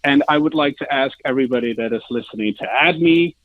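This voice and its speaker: A man from the Netherlands with a Dutch accent, answering interview questions as a sales director in a halting, hesitant way. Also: medium pitch, no room sound, phone-quality sound. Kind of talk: informal interview answers, product pitch.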